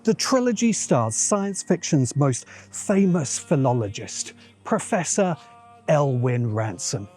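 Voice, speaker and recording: A man's voice: fairly high pitch, big pitch swings, takes it slowly, a little mellow, faint music behind. A man hosting a science fiction channel, giving a scripted video essay narration in a dramatic, musing way with dry asides.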